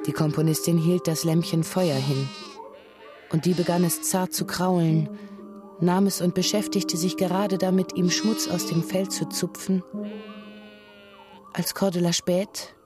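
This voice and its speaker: Lowish-voiced woman narrating radio drama. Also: fairly even intonation, measured pace, long pauses. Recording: music behind the voice.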